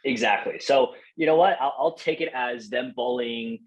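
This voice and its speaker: A man's voice: medium pitch, moderate pace, highs cut off. A young man from Taiwan, a podcast guest, chatting casually and in a friendly way, with lots of fillers and restarts. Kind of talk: casual interview chat.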